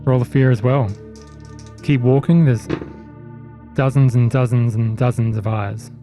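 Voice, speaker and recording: A man running a tabletop horror role-playing game as game master, narrating in deliberate, suspenseful phrases. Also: medium pitch, steady tone, warm tone. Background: faint music.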